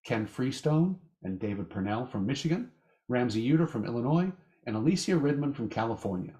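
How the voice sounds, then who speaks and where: A man announcing a radio show in a measured, theatrical, scripted style. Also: medium pitch, lively intonation, warm tone.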